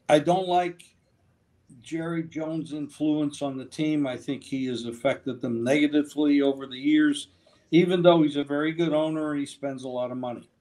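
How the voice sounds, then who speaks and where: Measured man giving football opinions. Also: mid-range voice, a little mellow. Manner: measured, thinking aloud with many pauses. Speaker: football analyst. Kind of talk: sports betting talk.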